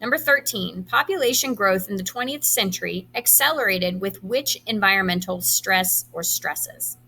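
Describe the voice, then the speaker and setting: A bright, crisp-edged voice from a woman who teaches high school. She reads questions and answer options aloud in an instructional way, setting out each item deliberately. Her pitch sits in an average range for a woman. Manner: instructional, enunciates quiz items deliberately. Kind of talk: reading quiz questions aloud to students, with brief instructions.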